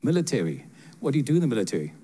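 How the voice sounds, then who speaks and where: An older man giving prophetic words to listeners in a church sanctuary, speaking with a handheld mic in a lively, joking, direct manner. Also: mid-range voice, very fast. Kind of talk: prophetic ministry to congregation. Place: church sanctuary with seated congregation.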